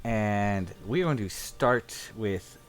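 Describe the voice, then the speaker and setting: A man hosting a livestream, introducing the show casually in short, measured phrases. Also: on the low side, lively intonation. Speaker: livestream host.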